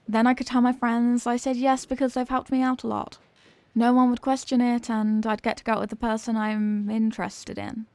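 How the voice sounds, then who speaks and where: A woman's voice: fairly high pitch, darkish tone, no room sound, lightly acted. A young woman acting a flustered, coy popular cheerleader girl with a secret crush, in an intimate ASMR romance roleplay. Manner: coy, flustered, dramatic, with hesitant stammers.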